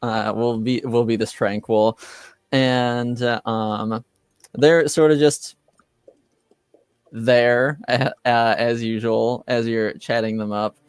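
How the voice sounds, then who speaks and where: A man working as game master, improvising tabletop game narration hesitantly, with many halting pauses. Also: medium pitch, level tone, clear, resonant tone, no room sound, clean studio sound.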